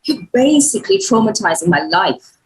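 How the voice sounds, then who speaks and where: A woman's voice: medium pitch, big pitch swings, moderate pace, a little hiss or hum, natural voice, rough recording. A woman acting an exasperated, sarcastic young adult daughter at a script table read. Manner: dry sarcasm, dramatic.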